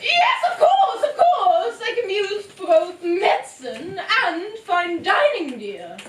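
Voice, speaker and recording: A woman's voice: very high voice, big pitch swings, takes it slowly, heavily acted. A woman voicing a vain, genteel lady mushroom in a puppet show, with affected, theatrical airs. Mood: genteel, coaxing. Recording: roomy, distant live bootleg, faint noise.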